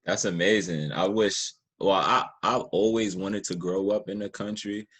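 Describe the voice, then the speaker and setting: A man's voice: deep voice, fairly even intonation, normal speed, a bit bright, slightly rough. An American man hosting a conversational interview in an encouraging, affirming way. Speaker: podcast host, interviewer.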